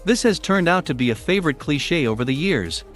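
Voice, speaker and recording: Male audiobook reader, fairly high voice, lively intonation, soft music underneath. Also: brisk. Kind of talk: reading a nonfiction book aloud.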